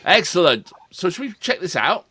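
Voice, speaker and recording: Chatty man with lively pitch. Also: normal speed, slightly rough, no room sound. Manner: chatty, reads background notes with asides. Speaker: reaction video co-host. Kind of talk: casual chat, reading notes aloud.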